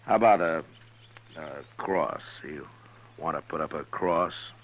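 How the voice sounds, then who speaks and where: An actor playing a stern, steady American frontier marshal in a 1950s radio western, measured and plain-spoken. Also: male voice, rumbling low, a little hiss or hum, exaggerated voice. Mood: calm, grave. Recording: dull old radio recording.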